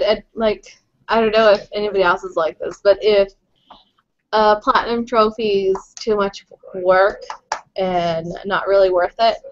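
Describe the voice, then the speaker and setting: A woman's voice: medium pitch, slight background noise. Rambling woman, muffled podcast audio. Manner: rambling, self-deprecating, hedging with "like". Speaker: podcast co-host. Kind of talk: casual gaming chat. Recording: slightly muffled home recording.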